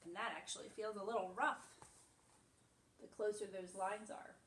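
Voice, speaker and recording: A woman's voice: mid-range voice, fairly even intonation, moderate pace, a bit bright, a little hiss or hum. A woman preschool teacher explaining simply and reading a story aloud for young children. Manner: simple, explanatory, demonstrative phrasing for small children. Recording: echoey room, distant microphone.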